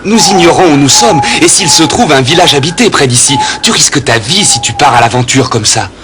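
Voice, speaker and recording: A man's voice: a little high, animated, brisk, loud music behind the voice, natural voice. A man playing an earnest, cautious man in a French cartoon dub. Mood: pleading.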